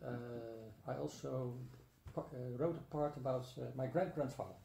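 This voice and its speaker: Older man guest, dark gravelly tone, thoughtful and hesitant, roomy sound. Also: medium pitch, moderate pace. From Netherlands. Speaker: guest, entrepreneur and author. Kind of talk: interview answers. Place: room at a wooden table.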